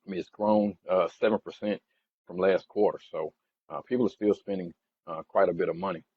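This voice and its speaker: A man giving informal explanatory commentary as a financial analyst, haltingly putting things in plain everyday terms, on a dull lo-fi recording. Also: sits low, fairly even intonation, breathy edge. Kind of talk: informal explanatory commentary over earnings slides.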